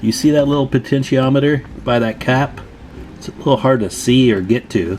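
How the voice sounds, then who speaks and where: A man's voice: medium pitch, moderate pace, a little mellow, a little hiss or hum. A man presenting a hands-on repair tutorial in a casual, plain-spoken, advising way.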